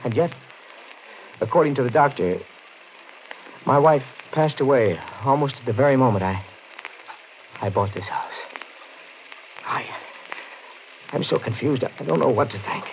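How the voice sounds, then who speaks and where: A man's voice: medium pitch, lively intonation, unhurried, long pauses. An actor playing a haunted, confused widower in an old-time radio horror play, dramatic and faltering in his speech. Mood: troubled, weary. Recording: noisy, muffled old radio recording.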